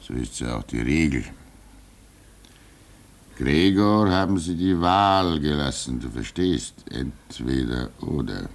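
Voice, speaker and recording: Wladimir, a gravelly old comrade. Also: male voice, extremely low pitch, slow, full character voice. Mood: calm. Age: older. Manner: calm, soothing, gravelly. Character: old fatherly male expedition comrade. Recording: old radio play with faint hiss.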